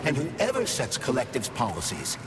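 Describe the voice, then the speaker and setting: An actor playing a polite, erudite AI glove companion in a video game, speaking formally and articulately in an explanatory way. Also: male voice, medium pitch, wildly swooping pitch, normal speed, noisy background. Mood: informative, concerned. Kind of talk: scripted video game dialogue. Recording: music behind.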